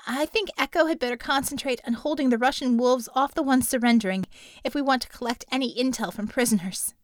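Female field operative played by a woman narrator, higher voice, clipped military calls, audiobook action. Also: brisk, dry recording, natural voice. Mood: exhausted. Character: urgent female field operative calling over comms.

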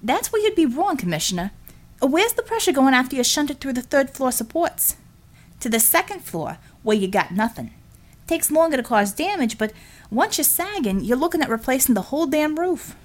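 A woman's voice: high-pitched, big pitch swings, moderate pace, voice pushed up. A woman voicing a heavy-set, plain-spoken American union contractor in an audiobook, with an American accent and folksy, colloquial manner. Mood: confident. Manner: folksy, colloquial, blunt shop talk.

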